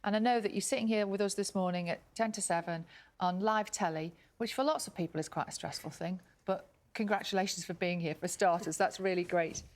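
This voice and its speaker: A woman's voice: medium pitch, a little fast, few pauses. A woman presenter conducting a live broadcast interview on a breakfast show. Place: TV studio.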